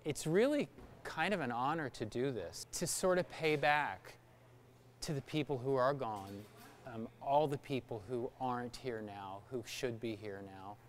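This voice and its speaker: A man's voice: mid-range voice, measured pace. A man speaking reflectively in an interview. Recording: faint background noise.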